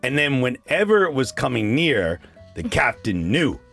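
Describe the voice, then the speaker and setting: A man's voice: medium pitch, sing-song, measured pace, creaky, a bit bright. A Canadian man hosting a children's radio show, chatting casually and encouragingly and putting quiz questions to young listeners. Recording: music playing behind the voice.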